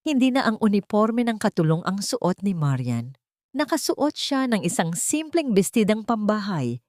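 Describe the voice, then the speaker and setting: A woman storyteller giving a solemn, expressive scripted narration of a dramatic audio story. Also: medium pitch, no echo, studio quality.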